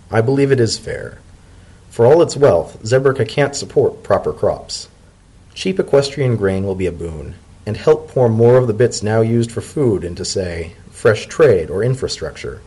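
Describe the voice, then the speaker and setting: Man playing an earnest pony civil servant, husky. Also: fairly low pitch, animated, unhurried, dark tone, poor audio. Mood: earnest, candid. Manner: formal, deferential, earnest. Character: polite, earnest male pony government secretary.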